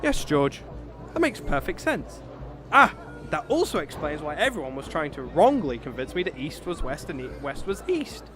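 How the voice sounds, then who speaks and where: An actor with a British accent playing a pompous, oblivious gentleman knight in a comedic fantasy audio drama. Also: fairly high pitch, big pitch swings, moderate pace. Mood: eager, self-satisfied. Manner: pompous, theatrical, chatty.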